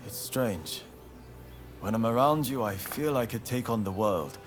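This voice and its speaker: An actor playing an honorable young samurai in a video game cutscene, speaking formally and solemnly in measured phrases. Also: male voice, medium pitch, animated, natural voice. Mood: earnest, resolute. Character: earnest, honorable young male samurai of Choshu. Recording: music behind the voice.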